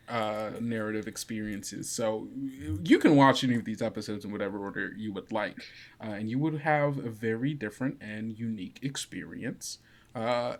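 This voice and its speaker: A game master and podcast host with a medium-pitched voice. The talk is casual and informal, full of joking asides between bits of narration.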